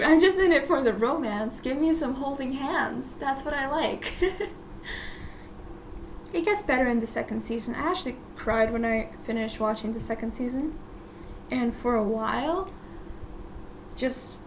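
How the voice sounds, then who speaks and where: A woman, a native Russian speaker, chats on her own podcast in a fairly high voice. She rambles, apologizes for herself and laughs softly between thoughts, with a faint hiss of noise underneath. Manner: rambling and self-deprecating, often laughing softly; chatty, full of "like" fillers and restarts. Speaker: podcast host. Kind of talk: casual solo podcast chat.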